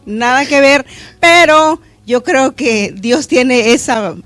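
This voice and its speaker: A middle-aged woman pastor from Honduras, reflecting earnestly on her faith in a studio interview, pausing with fillers. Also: high-pitched, expressive, fairly bright tone, dry recording, faint music behind. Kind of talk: testimony interview. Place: church media studio.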